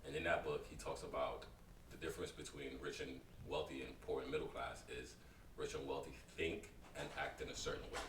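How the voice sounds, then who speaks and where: A man's voice: extremely low pitch, fairly even intonation, a little fast. A man co-hosting a casual chat, giving advice in an encouraging way. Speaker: co-host. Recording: echoey room from far off, faint noise.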